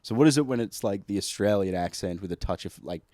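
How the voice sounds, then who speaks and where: Man, very low voice, dry sound, casual podcast host, laughs a lot. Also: fast talker, mellow, no echo. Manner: laughs often, loose and chatty. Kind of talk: casual chat.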